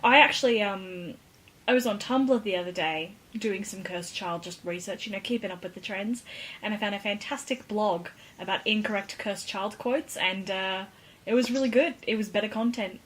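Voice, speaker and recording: A woman's voice: medium pitch, normal speed, fairly bright tone, slight background noise, rough recording. A woman co-hosting a podcast, chatting casually and cracking wry jokes. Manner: wry, joking. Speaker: podcast co-host. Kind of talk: casual comedic chat.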